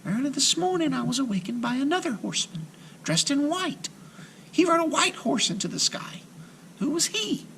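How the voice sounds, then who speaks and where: A man voicing a timid, polite little girl in a storybook, speaking meekly and softly. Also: very high-pitched, big pitch swings, moderate pace, vocal fry, slight background noise, voice pushed up, heavily acted. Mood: timid, curious. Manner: meek, hesitant, soft-spoken.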